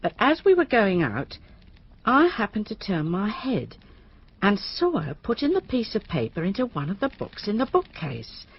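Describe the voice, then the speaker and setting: An actress playing a deferential, anxious widowed cleaner, confiding hesitantly in a radio drama. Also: female voice, medium pitch, huge pitch swings, normal speed, breathy edge, dull audio, heavily acted.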